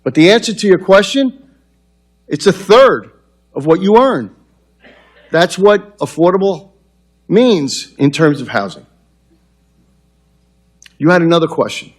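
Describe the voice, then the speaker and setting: A man's voice: sits high, measured pace, long pauses. New York-accented man at a podium. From New York City. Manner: conversational, many fillers and restarts, animated hand gestures. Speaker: mayor presenting at a public forum. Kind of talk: public forum address. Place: large council chamber, at a podium. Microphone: podium microphone, far camera.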